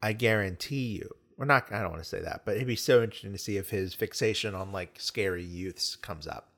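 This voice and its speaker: A man's voice: lowish voice, brisk, a bit bright. An American man co-hosting a film podcast, talking in a rambling, enthusiastic, casual way. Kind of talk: casual film discussion chat.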